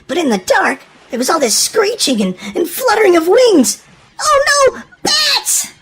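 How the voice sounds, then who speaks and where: A woman's voice: extremely high pitch, sing-song, normal speed. A woman narrating a children's storybook in a dramatic, emphatic scripted read-aloud style for young listeners.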